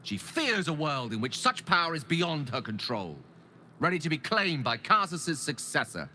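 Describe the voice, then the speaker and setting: A man's voice: on the high side, sing-song, normal speed, sharp tone, a little hiss or hum, exaggerated voice. A man playing an eloquent, ambitious and embittered wizard in a video game, speaking with earnest, theatrical and formal articulation. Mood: bitter, indignant. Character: ambitious, eloquent, embittered male wizard pleading his case. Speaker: video game companion character. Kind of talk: dramatic game dialogue acting. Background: background music.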